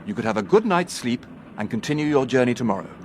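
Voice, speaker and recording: An actor playing a polite, formal, patronising English professor who coaxes, in a British radio drama. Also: male voice, mid-range voice, lively intonation, quick pace, natural voice. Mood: coaxing, concerned. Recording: noisy train background ambience.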